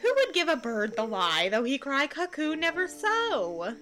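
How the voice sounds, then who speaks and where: A woman's voice: high voice, huge pitch swings, a little slow, fairly bright tone, faint music behind, exaggerated voice. A woman playing a boastful, stubborn male weaver with theatrical declamation in a Shakespearean audio drama. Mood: bemused.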